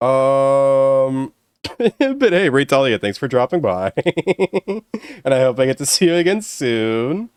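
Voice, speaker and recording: A young man with a deeper voice chatting casually with viewers as he ends a live stream, sounding tired and strained, relaxed and rambling. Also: slow, clean tone, no echo. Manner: relaxed, rambling, self-deprecating.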